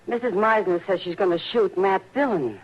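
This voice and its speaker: A woman's voice: mid-range voice, moderate pace, natural voice. An actress playing a frank, concerned frontier woman, pleading earnestly in an old-time radio western. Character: Kitty Russell, frank, concerned, plain-spoken frontier woman. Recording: dull, noisy old radio recording.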